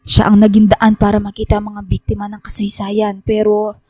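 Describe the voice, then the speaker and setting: An actress playing a remorseful, secretive professor with solemn, deliberate delivery in a radio horror drama. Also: female voice, mid-range voice, monotone, mellow, dry recording, clean studio sound, natural voice. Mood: pained, remorseful. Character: remorseful secretive female professor confessing family guilt.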